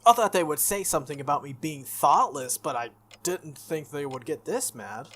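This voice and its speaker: A man's voice: a little high, lively intonation, normal speed, fairly bright tone. A man hosting a visual novel playthrough, reading the text aloud with casual asides and stumbling over lines now and then. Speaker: video game playthrough host. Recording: music behind the voice. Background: game music.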